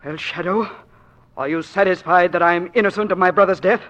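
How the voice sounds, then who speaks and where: An actress playing a nervous, defensive middle-aged mistress of a wealthy house in a 1940s radio mystery. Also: female voice, on the low side, fairly quick, fairly bright tone, dull audio, natural voice. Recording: vintage 1940s radio recording.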